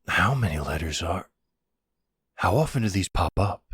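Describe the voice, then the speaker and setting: A man playing a supportive, puzzled boyfriend in an intimate audio roleplay, speaking in a hesitant, gently questioning way with a husky voice. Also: low voice, animated, normal speed, full character voice. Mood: puzzled, wary.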